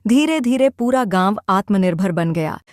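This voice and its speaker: A woman's voice: mid-range voice, no room sound, clean studio sound. Woman narrating a cartoon story. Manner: steady, measured storytelling.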